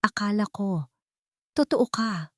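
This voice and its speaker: A woman voicing a wounded, loving young mother in a story drama, emotional and quietly resolute. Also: medium pitch, lively intonation, slow pace, no room sound, studio quality. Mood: bitter, whispered. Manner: pleading, emotional.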